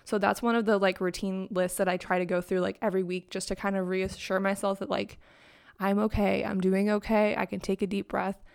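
A woman's voice: mid-range voice, flat delivery, brisk, creaky, a little mellow. Young woman, podcast host, sleepy morning voice, chatty and upbeat, lots of "like", home mic setup. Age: young adult. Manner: chatty, upbeat, heavy use of "like". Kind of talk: casual solo podcast talk. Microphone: own microphone and laptop.

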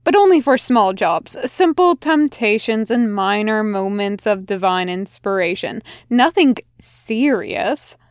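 A woman reading a fan fiction story aloud in a soft, unhurried narration. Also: mid-range voice, animated, no room sound, studio quality. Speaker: podfic reader.

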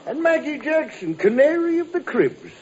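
Pompous, swooping old-radio barroom philosopher. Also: male voice, very high voice, very animated, normal speed, darkish tone. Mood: theatrically aggrieved. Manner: pompous, wordy, theatrical. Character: florid, philosophizing male barroom tippler, aggrieved and grandiose. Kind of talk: 1950s radio drama acting. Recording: dull vintage radio recording.

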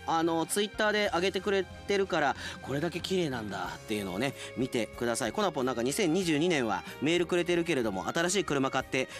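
A man hosting a radio show, chatting casually and playfully like an announcer, with conversational asides. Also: fairly high pitch.

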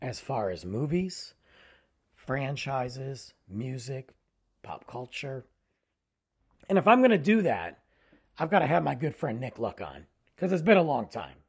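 A man hosting his own podcast in a casual, rambling way, tired and losing his voice, often trailing low at the ends of phrases. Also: sing-song, measured pace, long pauses, slightly rough. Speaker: podcast host. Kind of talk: casual solo podcast intro.

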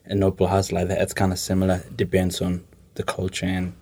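A man's voice: low-pitched, completely flat delivery, a little fast, a little mellow. A man, answering interview questions informally and hesitantly, often rephrasing as he goes. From Somalia. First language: Somali. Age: 20s. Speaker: interview guest.

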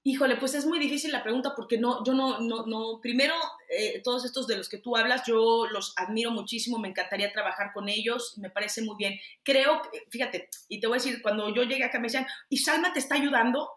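A woman's voice: fairly high pitch, fairly quick, few pauses, a bit bright, echoey room. A middle-aged Mexican woman, an actress and producer, answering interview questions candidly and emphatically, with frequent restarts.

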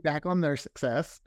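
A man with a fairly high-pitched voice talks casually in an interview. He tells stories in an animated way, slipping into quoted dialogue. The sound is dry and close, with little room on it. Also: dry recording. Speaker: podcast creator guest.

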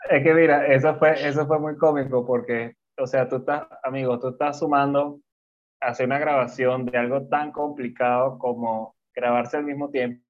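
A man's voice: mid-range voice, steady tone, normal speed. A man from Venezuela co-hosting a casual chat over a video call, rambling and repeating himself, laughing often. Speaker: co-host. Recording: dull lo-fi video call audio.

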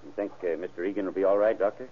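An actor playing a brisk, probing male sheriff in a businesslike old-time radio crime drama, clipped in his questioning. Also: deep voice, fairly even intonation. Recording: muffled, band-limited vintage radio recording.

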